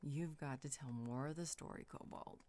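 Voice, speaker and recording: A woman playing a curious, gently teasing vampire healer in a conversational audio roleplay, musing and coaxing. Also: a bass voice, moderate pace. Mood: playful, curious.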